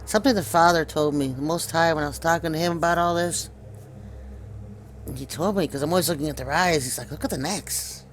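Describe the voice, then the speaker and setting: A man's voice: on the high side, a little fast, fairly bright tone, slight background noise. A man hosting an informal video commentary, insistently pointing things out to his viewers. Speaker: video host. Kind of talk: informal conspiracy commentary over pictures.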